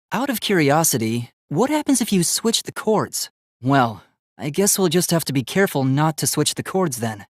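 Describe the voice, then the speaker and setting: A man's voice: fairly high pitch, breathy edge, no room sound, studio quality. A man voicing an earnest, polite young male robot in a video game, speaking in formal, precise, literal-minded phrases.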